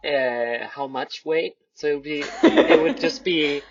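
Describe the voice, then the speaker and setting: A man's voice: a little high, unhurried, husky. A man chatting casually on a podcast with a dry, tongue-in-cheek sense of humour. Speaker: podcast participant. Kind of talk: casual chat. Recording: slightly dull podcast recording.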